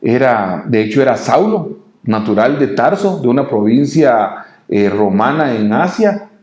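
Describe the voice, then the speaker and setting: A man with a Guatemalan Spanish accent giving a devotional sermon to listeners in a halting way, often repeating his words. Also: medium pitch, big pitch swings, a little mellow. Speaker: preacher. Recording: roomy, echoing room sound.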